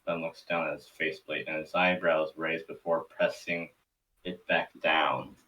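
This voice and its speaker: A man's voice: rumbling low, flat delivery, measured pace, bright, forceful tone, distant mic, low-quality recording. A man narrating stage directions for a cartoon table read in a scripted storytelling style.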